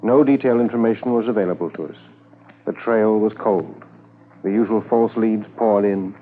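An actor with a Canadian accent playing a measured, matter-of-fact Mountie inspector who narrates a manhunt in a 1950s radio drama. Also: male voice, on the low side, fairly even intonation, close to an everyday voice. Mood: sober, factual. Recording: tinny 1950s radio transcription, faint music.

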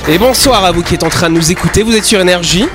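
A man's voice: high voice, fast, loud music behind the voice. A man hosting an evening radio talk show, teasing his crew and laughing often, with a New Caledonian French accent. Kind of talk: lively radio banter.

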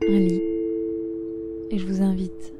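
A woman leads a guided relaxation as a yoga nidra teacher. Her medium-pitched voice is gentle and soothing. She gives slow, unhurried instructions with long pauses between them, and prominent instrumental music plays throughout. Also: completely flat delivery. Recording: loud meditation music under the voice. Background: loud instrumental relaxation music.

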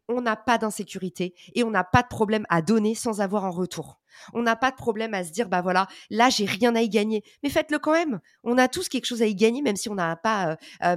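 A French-speaking woman giving energetic, informal advice in an interview, with many fillers and slang. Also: medium pitch, dry recording, clean studio sound. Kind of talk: casual motivational advice.

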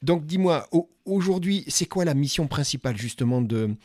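A man's voice: on the high side, lively intonation, moderate pace, darkish tone, dry recording, clean studio sound. A middle-aged man from Dordogne interviewing a guest at a job fair, chatty and enthusiastic, with many restarts. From Dordogne, France. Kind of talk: casual event interview. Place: quiet corner at a busy job fair.

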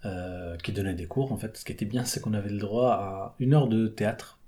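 A man's voice: fairly low pitch, brisk, mellow. A young man from France, chatting in an interview, hesitant with many fillers and restarts. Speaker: guest, theatre performer. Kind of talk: casual interview chat.

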